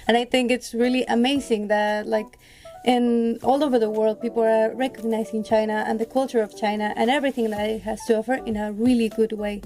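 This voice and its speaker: Young woman from Mexico, student guest, upbeat, mellow warm voice, slightly high. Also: a little fast. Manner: relaxed, upbeat, many likes. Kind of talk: casual podcast chat.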